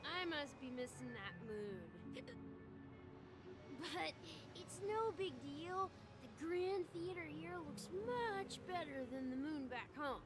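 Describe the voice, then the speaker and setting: A woman's voice: high-pitched, expressive, slow, music in the background. A woman voicing a dreamy, carefree man in a video game, with a blissful, rambling drawl. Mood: wistful, awed. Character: dreamy, carefree man escaping a miserable home life. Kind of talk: dramatic video game acting.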